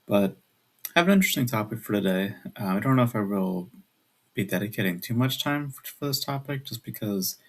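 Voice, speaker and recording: A man in his twenties talks casually to his viewers in a low voice with plenty of creak. He keeps it hushed, as if others nearby are sleeping, and rambles along hesitantly. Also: animated, fairly quick. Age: 20s. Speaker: video creator. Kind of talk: casual video chat. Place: at home at night.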